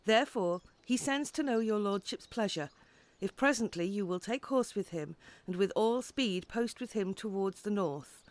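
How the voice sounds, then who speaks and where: An actress playing an urgent messenger in Shakespearean audio drama, reporting earnestly in formal verse. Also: female voice, medium pitch, lively intonation, normal speed, lightly acted. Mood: urgent, warning. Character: urgent messenger bearing a lord's warning.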